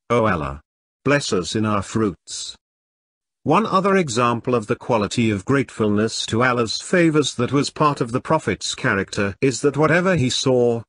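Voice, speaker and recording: A man delivering a Friday sermon from a prepared English translation in a measured, formal manner. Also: mid-range voice, no echo. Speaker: preacher.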